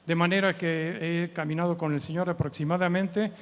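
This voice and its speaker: Guest preacher: Mexican man, early 70s, fairly high voice, chatty and humorous teaching, long hall reverb. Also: steady tone, fairly quick. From Mexico City, Mexico. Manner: conversational, humorous, often seeks agreement with short tag questions. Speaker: guest preacher and missions trainer. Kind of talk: informal church teaching with anecdotes. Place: church meeting hall.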